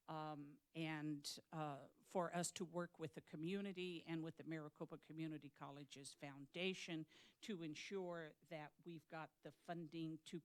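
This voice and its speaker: A woman candidate answering forum questions in a measured way, with frequent ums and uhs. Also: sits low. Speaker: board candidate.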